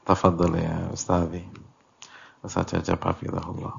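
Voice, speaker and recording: Very deep, gravelly male religious teacher. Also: big pitch swings, normal speed, dull audio. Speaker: Islamic teacher leading a religious study class. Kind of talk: religious lecture explaining a classical text.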